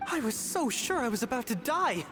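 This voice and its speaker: An earnest young boy adventurer. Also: a little high. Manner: earnest, admiring. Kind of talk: video game voice acting. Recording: game audio with loud music.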